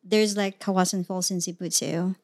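A woman from the Philippines with a Filipino accent, whose first language is Tagalog, chatting casually as podcast co-host with frequent pauses and fillers. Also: lowish voice, fairly even intonation, darkish tone. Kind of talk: casual chat.

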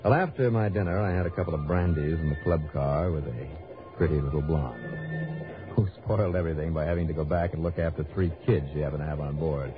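An actor playing a cool, wry private investigator with a dry, easygoing manner in an old radio drama. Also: male voice, a bass voice, quick pace. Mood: wry, narrating. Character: cool, wry male private investigator narrating his case. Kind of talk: 1950s-60s radio drama narration and acting. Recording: dull old radio recording, music behind.

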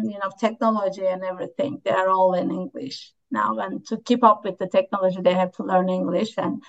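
A woman's voice: mid-range voice, a bit bright, lo-fi sound. A woman English teacher from Turkey with a Turkish accent, answering interview questions in a hesitant way full of fillers and restarts.

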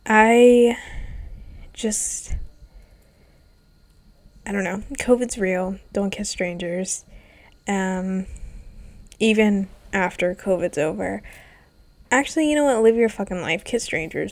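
A young woman with a stuffy, congested nasal voice chats casually about her week. She rambles loosely, filling gaps with ums and dropping the odd curse word. Her pitch sits right in the middle for a woman. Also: slow, pauses often. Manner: rambling, swearing, lots of ums. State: congested, sick with covid. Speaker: vlogger. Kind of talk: casual personal chat.

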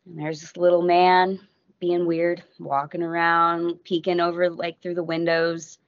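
An American woman, a podcast guest, telling a travel story in a casual, animated way that keeps breaking off and acting out what people said. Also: on the low side, unhurried, no room sound. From United States. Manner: animated, self-interrupting, acts out quotes. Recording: dull lo-fi audio.

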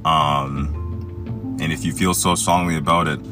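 A man from Egypt hosting a solo podcast, reading a text aloud and commenting on it in a halting way with many fillers and restarts. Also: rumbling low, steady tone. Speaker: podcast host. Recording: music playing underneath.

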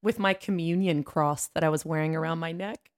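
Playful, lower-pitched woman in her thirties. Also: brisk. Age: 30s. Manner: playful, teasing. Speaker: podcast co-host. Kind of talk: casual chat and storytelling.

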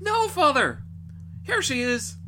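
A man's voice: very high-pitched, huge pitch swings, takes it slowly, noisy background, pitched above the performer's own voice, heavily acted. A man voicing a spirited, self-assured young servant heroine in a storybook, assertive, with music behind. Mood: firm. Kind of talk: animated storybook reading.

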